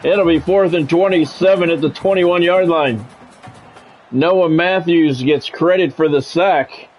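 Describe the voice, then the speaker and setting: Male game streamer, slightly high-set voice, football play-by-play with casual grumbling asides, faint music underneath. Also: a little slow. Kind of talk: sports play-by-play commentary mixed with casual stream chat.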